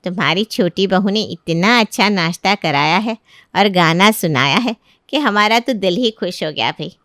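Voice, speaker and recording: A woman voicing a nosy middle-aged neighbour auntie in a storybook tale, teasing and effusive, with drawn-out words. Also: medium pitch, lively intonation, a bit bright, no echo, clean studio sound, natural voice. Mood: effusive praise.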